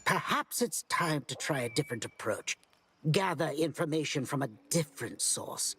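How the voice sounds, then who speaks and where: A woman's voice: sits low, animated, normal speed, fairly bright tone, faint music behind. A woman playing an impatient, curious scholar in a video game, speaking formally and theatrically. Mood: pondering, dry. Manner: theatrical, exasperated, formal wording. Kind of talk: video game character acting.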